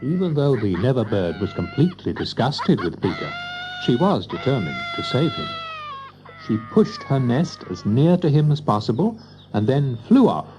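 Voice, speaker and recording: A man narrating a scripted children's story in an expressive storytelling manner. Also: mid-range voice, normal speed, noisy background.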